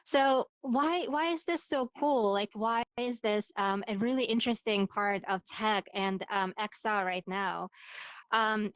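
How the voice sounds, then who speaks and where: A woman's voice: fairly high pitch, dry recording, low-quality recording. A woman from Ukraine with a slight Ukrainian accent, a co-founder giving a conversational talk full of ums and likes. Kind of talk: presentation talk.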